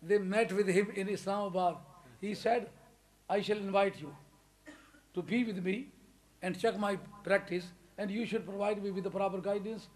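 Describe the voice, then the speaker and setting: A man's voice: high voice, fairly even intonation, normal speed. A man from Pakistan with a Pakistani accent, preaching an English sermon to a congregation with emphatic stresses and frequent chuckles. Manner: emphatic, rising to loud stresses, chuckles between points. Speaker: religious preacher.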